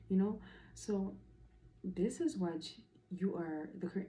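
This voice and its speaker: A woman's voice: deep voice, warm tone, a little hiss or hum, low-quality recording. A woman from the Caribbean giving a casual spoken tarot reading, emphatic and chatty, repeating affirmations with playful asides. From Caribbean, Dominican. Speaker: tarot reader.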